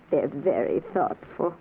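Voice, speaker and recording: Low-voiced soothing ghost wife, old radio. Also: female voice, wildly swooping pitch, takes it slowly, vocal fry, heavily acted. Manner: consoling, patient, genteel. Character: gentle, soothing ghost wife guiding the newly dead. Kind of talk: 1940s radio drama acting. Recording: muffled, noisy old radio recording.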